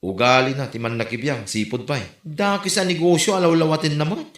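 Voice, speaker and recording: A man's voice: fairly high pitch, brisk, a bit bright, close to an everyday voice. A man with an Ilocano accent acting as an aggrieved, protective older sibling in a comedy drama. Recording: roomy, echoing room sound.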